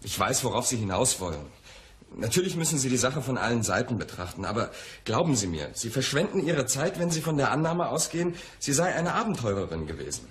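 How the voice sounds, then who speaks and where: A man plays a touchy, lovesick gentleman in a radio drama. His mid-pitched voice swings expressively, earnest and reluctant, over audible background noise. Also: sing-song, brisk, close to an everyday voice. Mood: pleading, earnest. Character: defensive, lovesick, sensitive male client. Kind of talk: radio crime drama acting.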